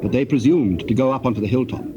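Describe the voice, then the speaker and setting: A man with a British accent gives solemn, measured, formal narration for a dramatized scripture recording. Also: mid-range voice, highs cut off. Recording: noisy tape with birdsong ambience.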